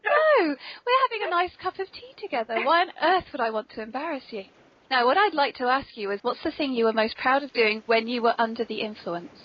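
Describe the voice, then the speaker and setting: A woman's voice: high voice, animated, fairly quick. A woman podcast host interviewing in a polite, playful, conversational way, on a muffled lo-fi recording. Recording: dull, muffled lo-fi podcast audio.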